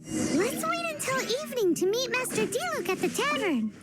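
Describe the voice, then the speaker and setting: A woman voicing a small, cheeky, childlike fairy companion in a video game, squeaky and exclamatory. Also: big pitch swings, moderate pace, over loud music. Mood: helpful. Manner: exclamatory, refers to self in third person. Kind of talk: video game character acting.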